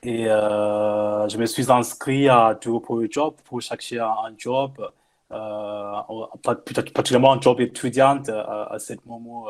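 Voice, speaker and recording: A man from Zimbabwe, a native English speaker with a Zimbabwean English accent, giving a personal testimonial in halting non-native speech. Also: mid-range voice, fairly even intonation. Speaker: panel guest, former mentee.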